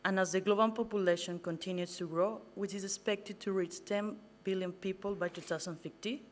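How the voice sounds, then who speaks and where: A woman's voice: mid-range voice, fairly even intonation, a little fast, slight background noise. Earnest woman with a Colombian accent. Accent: Colombian Spanish. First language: Spanish. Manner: earnest, slightly nervous, stumbles on words. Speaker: guest speaker, master's student. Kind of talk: prepared public talk. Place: event venue.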